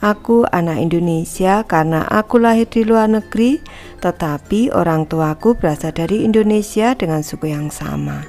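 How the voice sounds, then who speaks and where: Woman teacher, lowish voice, explaining a lesson to children, soft music behind. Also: normal speed. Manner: calls herself Ibu and addresses the class as anak-anak. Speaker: primary school teacher.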